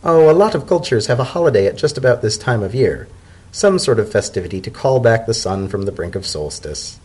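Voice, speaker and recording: Man voicing a shrewd, animated female ambassador. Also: mid-range voice, brisk, husky. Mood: puzzled. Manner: dry, formal, probing questions. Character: mild-toned female ambassador, shrewd, aloof and inquisitive.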